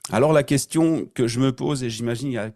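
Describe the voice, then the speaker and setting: A man hosting his podcast, reading a scripted intro and then chatting casually, lively and playful with repeated catchphrases. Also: mid-range voice, fast talker, no room sound, studio quality. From Belgium. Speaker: podcast host.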